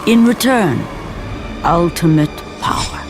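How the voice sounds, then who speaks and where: A man's voice: high voice, animated, slow, music in the background. A man playing the grave storyteller of a mythic video game, narrating in a portentous, scripted style. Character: grave storyteller of an ancient Greek myth.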